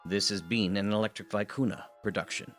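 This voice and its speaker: A man's voice: on the low side, fairly even intonation, brisk. A man reading scripted credits as an announcer. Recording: music playing behind voice.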